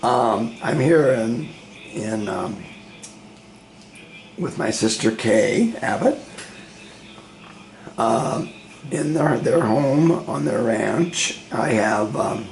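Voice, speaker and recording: Hoarse man, gravelly, halting with fillers, family interviewer, echoey room, faint hiss. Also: mid-range voice, animated, darkish tone. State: hoarse from laryngitis. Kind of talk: family oral history interview. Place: room in a ranch home.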